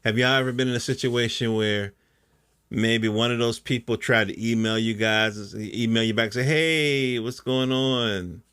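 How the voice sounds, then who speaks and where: A man's voice: medium pitch, steady tone, measured pace, fairly bright tone, clean tone, no echo, studio quality. A middle-aged American man hosting a podcast, talking casually in a rambling, colloquial monologue and often checking in with the listener. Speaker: podcast host.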